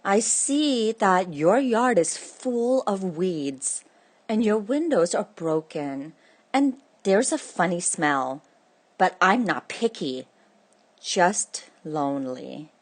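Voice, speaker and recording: Woman as a pleading, slow-talking stray dog. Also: mid-range voice, animated. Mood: lonely, pleading. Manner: pleading, eager. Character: hopeful, lonely stray dog writing pleading letters. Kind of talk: children's read-aloud.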